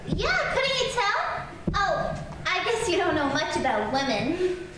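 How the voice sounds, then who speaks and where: A woman's voice: very high-pitched, sing-song, a little slow, exaggerated voice. A woman playing a bratty, smitten little girl in an old-time radio comedy. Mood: smitten, coy. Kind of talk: 1940s radio comedy acting. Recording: roomy, distant stage recording with noise.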